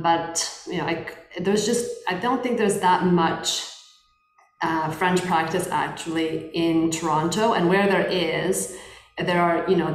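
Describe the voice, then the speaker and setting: Low-voiced woman in a roomy space. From Poland. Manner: thoughtful, measured, frequent restarts. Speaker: guest lawyer. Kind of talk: interview conversation. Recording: roomy echo.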